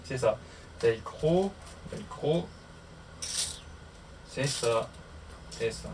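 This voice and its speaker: Male vlogger, mid-pitched and unhurried, casual how-to chat muttered while working, picked up from a distance. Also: expressive. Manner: muttering to himself while working through steps. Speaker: motorcycle vlogger. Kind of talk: casual hands-on tutorial chat to camera. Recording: distant mic, clear background noise.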